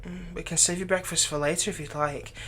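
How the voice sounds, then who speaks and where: A man playing a doting, lightly teasing fantasy villain captor in an ASMR roleplay, speaking softly and tenderly, coaxing the listener without hurry. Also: high voice, steady tone, a little hiss or hum. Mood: gentle, reassuring. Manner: soft, coaxing, unhurried, tender.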